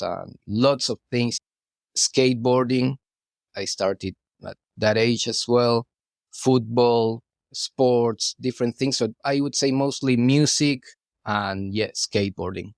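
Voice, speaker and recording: A man's voice: medium pitch, no echo, studio quality. A middle-aged Argentine man, a guest artist, chatting loosely in an interview, restarting phrases and saying "you know" often. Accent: Argentine Spanish. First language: Spanish. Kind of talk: casual interview chat.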